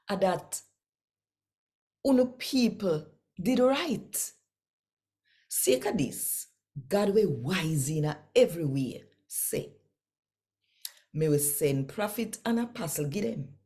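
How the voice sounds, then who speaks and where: A woman in her sixties with a Jamaican accent, reading scripture aloud as an expressive storyteller, conversational and emphatic. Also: fairly low pitch. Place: small indoor room at home.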